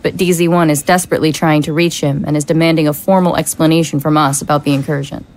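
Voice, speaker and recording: A woman's voice: low-pitched, steady tone, fast, a little mellow. A woman playing a shrewd, businesslike vice-consul in an audio drama. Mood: measured. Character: shrewd, hard-nosed female vice-consul, political deputy.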